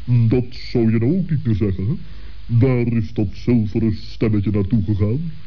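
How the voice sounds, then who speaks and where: A man's voice: fairly low pitch, moderate pace, close to an everyday voice. A man voicing a pedantic, well-meaning owl in a wordy, ponderous manner for a children's radio play. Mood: puzzled. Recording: dull old radio play recording.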